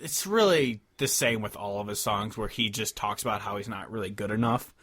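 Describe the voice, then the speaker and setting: A man's voice: lowish voice, brisk, a bit bright. A man co-hosting a podcast, introducing and discussing music casually in a loose, hesitant, joking manner. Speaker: podcast co-host.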